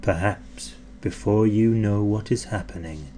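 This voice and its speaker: A man narrating a children's story in an expressive, theatrical way, drawing out words for effect. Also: lowish voice, unhurried, a little hiss or hum. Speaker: audiobook narrator.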